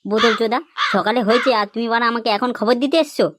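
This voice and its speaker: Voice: mid-range voice, steady tone, a bit bright, no echo, clean studio sound, close to an everyday voice. A performer voicing a brash, foul-mouthed young male village gangster in a comic cartoon, swaggering and coarse. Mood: angry, threatening. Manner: swaggering, coarse, quick to threaten. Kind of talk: comic cartoon dubbing in colloquial Bengali.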